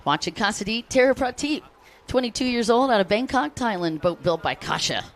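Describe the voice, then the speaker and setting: A woman's voice: fairly high pitch, lively intonation, fairly quick. An American woman giving live race commentary, chatty and upbeat, calling out riders and greeting fans between lap updates. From United States.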